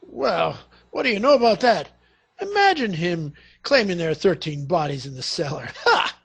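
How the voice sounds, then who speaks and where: An actor playing an excitable, scrappy policeman with an exclamatory, colloquial way of talking in a radio comedy. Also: high voice, moderate pace, gravelly, husky, dry recording, heavily acted. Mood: amazed, brisk. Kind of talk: stage comedy acting for radio theatre.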